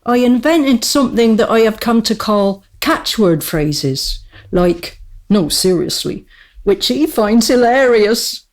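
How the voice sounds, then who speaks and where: A woman acts a small court jester in a radio play, irreverent and witty. The voice sits fairly high and moves slowly, with measured, wry storytelling and mock-courtly formality. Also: animated. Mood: wry, amused.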